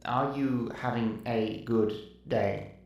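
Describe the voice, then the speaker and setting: A man's voice: medium pitch, slow pace, gravelly, darkish tone. A man playing a polite cyborg servant in a sci-fi audio drama, speaking in a stiff, formal, courteous way. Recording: very echoey, distant, some noise.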